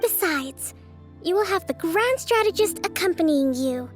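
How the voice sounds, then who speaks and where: A woman voicing a gentle, introverted young priestess and strategist in a video game, soft-spoken, formal and thoughtful. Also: extremely high pitch, expressive, moderate pace, soft background music. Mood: cheerful, reassuring. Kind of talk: video game character voice acting.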